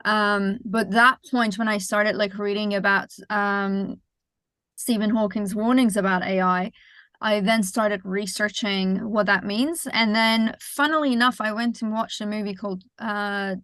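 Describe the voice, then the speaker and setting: A woman's voice: mid-range voice, measured pace, fairly bright tone, no echo. Thoughtful woman from Iran, dry sound. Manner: thoughtful, many fillers and self-corrections. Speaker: startup founder, guest. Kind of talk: conversational interview answers.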